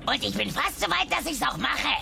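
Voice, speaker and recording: A woman playing a cranky, sharp-tongued old female dinosaur who bickers sarcastically in a dubbed sitcom audio drama. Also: sits high, animated, fairly quick, gravelly, faint music behind, exaggerated voice. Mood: bitter, defiant.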